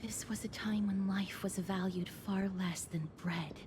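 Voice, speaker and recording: A woman playing a composed, thoughtful young companion in a video game, speaking in a measured, earnest and articulate way. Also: medium pitch, steady tone, background noise, natural voice. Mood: hushed. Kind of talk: video game character dialogue.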